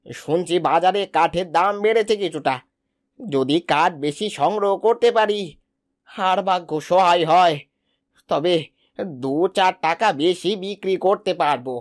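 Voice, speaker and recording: A man's voice: high-pitched, measured pace. A man playing a humble, caring woodcutter, a husband and father in a cartoon folk tale, homely and reassuring. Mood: caring, practical. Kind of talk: cartoon dialogue.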